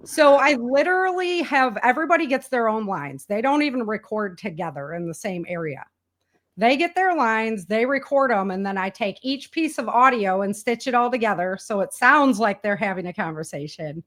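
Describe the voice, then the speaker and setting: A woman's voice: fairly high pitch, expressive, moderate pace, a bit bright. A woman speaking as a guest in a casual interview, with an earnest and enthusiastic way of talking. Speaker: interview guest, author and audio drama producer.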